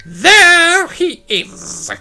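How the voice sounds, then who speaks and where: Squeaky gnome king, pompous n excitable, swoops around, music under it. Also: extremely slow, exaggerated voice. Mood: boastful rallying. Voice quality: high, squeaky. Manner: bombastic, long-winded speechifying.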